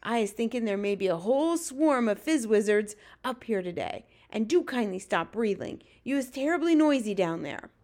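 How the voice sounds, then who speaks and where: An excitable giant from a children's storybook, acted out by a woman. The voice is pitched high and full of drama. He exclaims in tumbling, ungrammatical folksy talk. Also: moderate pace, hardly any pauses. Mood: delighted, bossy. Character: excitable big-eared male giant, dream catcher, dramatic.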